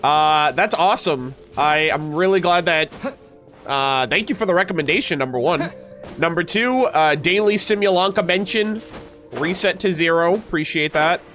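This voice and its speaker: Stuffed-up male streamer, high-pitched, chatty and halting, faint music under him. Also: a bit bright. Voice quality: stuffed-up, nasal. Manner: chatty, hesitant with many fillers and restarts. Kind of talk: casual game stream chat.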